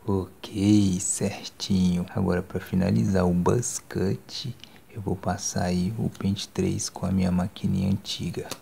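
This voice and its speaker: Hushed, creaky low-voiced Brazilian man. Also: measured pace, a little hiss or hum. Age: 20s. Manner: calm, reassuring, informal. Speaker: ASMR creator playing a barber. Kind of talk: ASMR roleplay. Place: small decorated bedroom indoors. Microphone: phone microphone held close.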